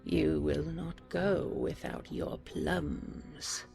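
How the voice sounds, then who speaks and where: A woman voicing a towering, menacing male ogre in a storybook tale, slow and imperious, with a growling, hissing breathiness. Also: sits low, fairly even intonation, gravelly, dark tone, faint music behind, full character voice. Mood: menacing, commanding.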